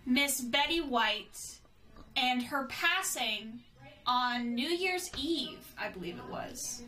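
A woman co-hosting a podcast in casual chat, upbeat and quick to cheer along, with a cough. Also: high voice, animated, bright, forceful tone, low-quality recording. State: has a cough. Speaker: podcast co-host.

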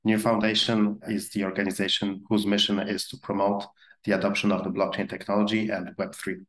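A man with a Polish accent, a guest answering interview questions in a brisk, earnest way with occasional fillers. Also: fairly low pitch, little pitch movement. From Poland. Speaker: guest, blockchain executive. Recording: roomy echo.

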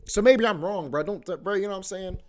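A man's voice: sits high, brisk. A man in his twenties hosting his own podcast, talking casually in a rambling, slangy way with lots of fillers. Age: 20s. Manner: laid-back, slangy, rambling with many fillers. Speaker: podcast host. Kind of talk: casual solo podcast monologue.

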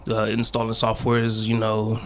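A man's voice: fairly low pitch, moderate pace. A man in his thirties from the D.C. area, chatting casually on a podcast, with loose slangy phrasing and many restarts. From Washington, D.C. area. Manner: relaxed, repetitive restarts, slangy. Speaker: podcast guest and co-host.

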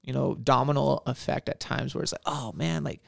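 A man with a very gravelly, creaky voice hosts a casual interview podcast. His pitch sits in the middle range, and the sound is close and dry. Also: fairly quick, no room sound. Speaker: podcast host.

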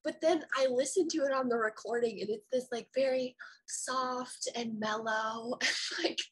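Young woman, husky, loose rambling chat delivery. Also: on the high side, measured pace, rough recording. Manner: rambling, many "like" fillers. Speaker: songwriter in a discussion. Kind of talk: casual chat.

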